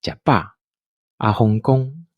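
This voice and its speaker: A man's voice: mid-range voice, slow, dry recording, dull audio. A man with a Taiwanese accent narrating a scripted radio drama as its storyteller.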